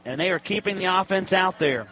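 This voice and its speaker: A man's voice: high-pitched, animated, a little fast, bright, forceful tone, slight background noise. A man calling college football on the radio as a play-by-play announcer, in short, clipped present-tense bursts.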